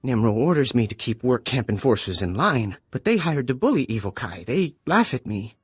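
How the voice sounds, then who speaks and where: Raspy little alien clerk from a game, fretful, broken grammar, pitch hops all over. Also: male voice, sing-song, moderate pace, dry recording, clean studio sound. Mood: fawning, aggrieved. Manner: clipped broken grammar, eager. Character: small fawning, fretful male alien administrator, broken speech. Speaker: game voice actor. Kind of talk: video game character dialogue.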